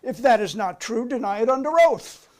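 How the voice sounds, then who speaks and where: High-pitched stern government prosecutor, read by a man. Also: expressive, moderate pace, darkish tone. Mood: stern, accusing. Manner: formal, pressing, prosecutorial. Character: stern, accusing 1950s congressional committee counsel, a man. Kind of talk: dramatic reading of a hearing transcript.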